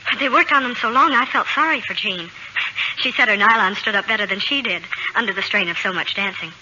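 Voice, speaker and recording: A woman's voice: medium pitch, fairly quick, pushed and bright. A young woman, a guest actress, giving eager, scripted answers in a 1940s radio interview. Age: young adult. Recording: muffled old radio broadcast, faint noise.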